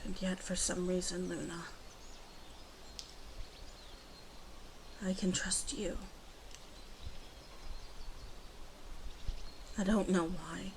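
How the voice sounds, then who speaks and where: A woman acts out a guarded, proud she-wolf with a gravelly creak in her hushed voice. She speaks haltingly and slips into whispers, protective and gruffly tender in an ASMR roleplay. A faint noisy ambience sits behind her. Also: lowish voice, little pitch movement, lightly acted. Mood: soft, reluctant trust. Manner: slow, halting, hushed, often dropping to whispers.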